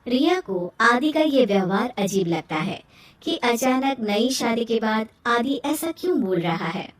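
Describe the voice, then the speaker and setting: High-voiced woman narrating, with roomy echo. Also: expressive, a little slow. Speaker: story narrator. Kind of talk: scripted narration of a Hindi moral story. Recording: roomy echo, distant from mic.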